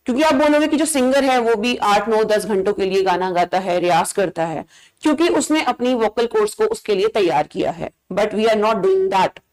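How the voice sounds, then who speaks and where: A woman's voice: medium pitch, expressive, normal speed, a little mellow, rough recording. A woman with an Indian accent speaking as a biology teacher, giving explanatory, emphatic advice and switching into English phrases. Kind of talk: informal educational advice talk to fellow teachers.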